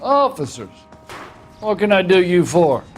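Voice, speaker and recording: A man's voice: sits high, sing-song, measured pace, faint music behind. A man playing a chatty, helpful gun shop owner in a video game, with a casual, folksy manner. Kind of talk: video game cutscene acting.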